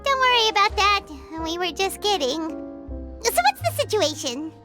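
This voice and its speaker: A woman's voice: very high-pitched, big pitch swings, normal speed, loud music behind the voice. A woman voicing a tiny floating fairy-like companion in a video game, bubbly and chatty, talking about herself in the third person. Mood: chipper.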